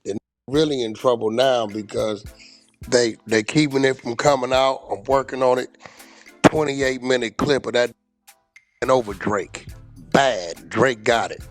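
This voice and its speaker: A man's voice: medium pitch, a little slow, dry recording, soft background music. A man in a played clip, talking casually in short, emphatic statements.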